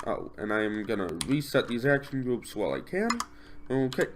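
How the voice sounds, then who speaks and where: A man's voice: mid-range voice, animated, moderate pace, a little hiss or hum. A man talks through his gameplay in a casual, rambling way, often correcting himself mid-sentence. His voice is a little stuffed up from a cold. Faint typing and room ambience sit behind his desk mic. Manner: rambling, self-correcting, thinks aloud. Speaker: gameplay commentator.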